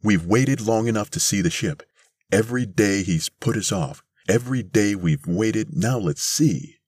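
Fierce elderly woman, acted by a male narrator, storybook. Raised pitch, husky, indignant and demanding. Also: sing-song, unhurried, no echo, clean studio sound, full character voice. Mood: angry, demanding. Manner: forceful, demanding, crying out. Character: fierce, indignant old lady demanding answers.

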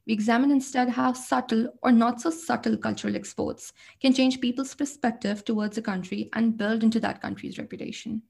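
A young woman co-hosting a student podcast, reading her scripted lines in a measured way. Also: a little high, steady tone, vocal fry, darkish tone, breathy edge. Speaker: podcast co-host.

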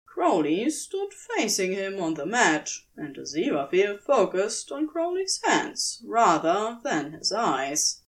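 Slow, expressive woman narrator. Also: medium pitch. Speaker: audiobook-style narrator. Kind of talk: scripted fiction narration.

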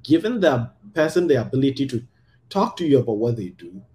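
A man hosting a casual podcast conversation, emphatic and animated, often checking in with "right?". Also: mid-range voice, brisk. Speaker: podcast host.

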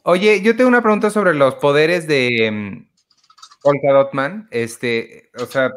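A man's voice: on the high side, measured pace, smooth. A man hosting a podcast, chatting casually about films with friends and using lots of fillers. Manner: relaxed, chatty, filler-heavy. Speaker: podcast host. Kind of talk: casual film review chat.

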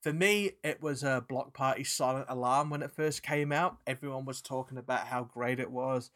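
A man with a British accent mixed with New Zealand and South African traces, co-hosting a podcast in animated, sweary casual chat. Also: mid-range voice, moderate pace. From England. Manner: animated, swearing, trailing restarts. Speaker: podcast co-host.

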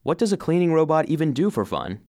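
A man's voice: on the high side, very animated, fast, darkish tone. A man playing an earnest, eager cleaning robot who narrates his own story expressively in a children's audio drama. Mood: uncertain, surprised.

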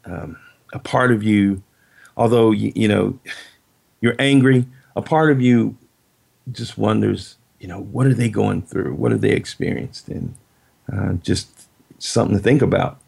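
A middle-aged man with a low, heavily gravelly voice and a husky edge. He talks slowly and reflectively, restarting phrases as he thinks aloud. He is a guest in a casual interview. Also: darkish tone. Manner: reflective, halting, many restarts.

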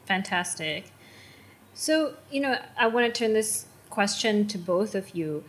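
A woman moderating a panel and asking the guests questions in a measured, polite way. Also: mid-range voice, expressive. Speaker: interviewer, moderator.

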